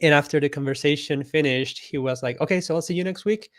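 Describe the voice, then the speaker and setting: A man's voice: a little high, fast, no echo, clean studio sound. A man from Costa Rica, a guest on an interview show, telling his story in a friendly, reflective way and laughing often. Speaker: guest, illustrator and artist coach.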